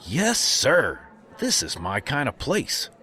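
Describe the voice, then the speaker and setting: A man's voice: mid-range voice, huge pitch swings, slow pace, bright, forceful tone, exaggerated voice. A man playing a naive, chipper, polite would-be cowboy with an American accent in a comic western audio drama.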